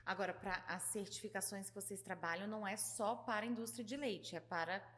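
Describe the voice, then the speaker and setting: A woman's voice: mid-range voice, a little fast, fairly bright tone, big-room sound. A woman podcast host with a Brasília accent, who grew up in southern Brazil, interviewing her guest with enthusiastic banter. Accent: Brasília Brazilian Portuguese. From Rio Grande do Sul, Brazil. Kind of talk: interview hosting.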